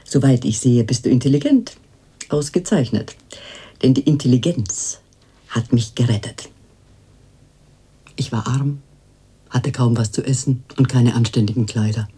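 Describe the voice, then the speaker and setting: Radio drama casting: aging madam turned fortune-teller, cooing and pious, very low husky voice, unhurried. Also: female voice, mellow, heavily acted. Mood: boastful, insistent. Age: older. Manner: cooing endearments, theatrical.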